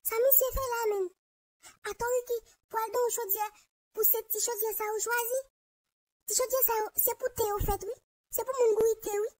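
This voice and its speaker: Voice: extremely high pitch, a little mellow. A performer with a Haitian accent voicing a bossy young male cartoon friend, scolding and sarcastic. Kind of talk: Haitian Creole cartoon dialogue.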